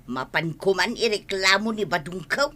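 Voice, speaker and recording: A woman's voice: medium pitch, lively intonation, moderate pace, sharp tone. A woman with a Filipino accent playing an insistent, plaintive village wife in a comedic audio drama. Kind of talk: comedic radio drama acting.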